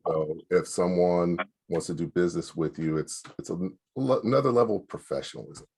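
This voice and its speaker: Very deep-voiced man giving casual advice. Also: brisk, darkish tone, slightly rough. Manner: loose and practical, many fillers. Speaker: speaker advising small business owners.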